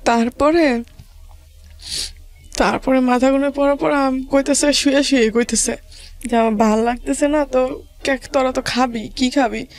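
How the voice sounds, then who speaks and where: A woman with a rural Bangladeshi accent, telling her life story to an interviewer in halting, repetitive dialect. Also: high-pitched, fairly even intonation, soft background music.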